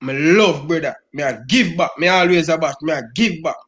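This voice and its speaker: Middle-aged Jamaican Patois man, high voice, emphatic fan rant, keeps saying "brother.". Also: fairly quick, a bit bright. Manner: emphatic, repeats "brother" constantly. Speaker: football fan guest.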